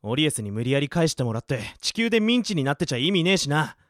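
A man's voice: fairly high pitch, very animated, fast talker, a bit bright, no room sound, studio quality. A man playing a level-headed, exasperated teenage boy in a Japanese anime-style radio drama, blunt and grumbling. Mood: wary, resigned.

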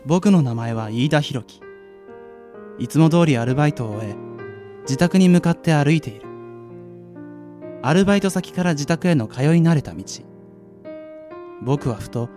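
A man playing a curious young part-timer, narrating his night in a measured, introspective radio drama style. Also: on the high side, animated, mellow, soft background music, natural voice. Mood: reflective.

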